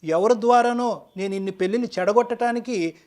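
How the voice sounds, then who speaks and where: A man's voice: high-pitched, a little fast, darkish tone. A man counseling in a firm, didactic way as an advice show counselor, dropping English phrases into his speech. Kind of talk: advice-giving counseling talk.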